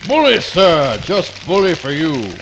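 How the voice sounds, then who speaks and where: A man's voice: a little high, big pitch swings, measured pace, highs cut off, noisy background. A man playing a pompous, self-admiring hound dog who declaims in grand, genteel phrases in a comic radio play. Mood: grandiose.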